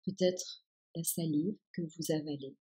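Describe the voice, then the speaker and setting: A woman guiding a yoga nidra relaxation with calm, soothing instructions in a soft-edged, breathy voice. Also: low-pitched, a little slow. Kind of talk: guided meditation, relaxation instructions.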